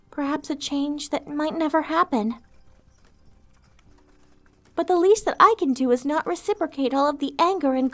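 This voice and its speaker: A woman voicing a gentle, weary female vampire outcast in an ASMR roleplay, soft-spoken, wistful and earnest, with formal wording. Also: high-pitched. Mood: earnest, compassionate.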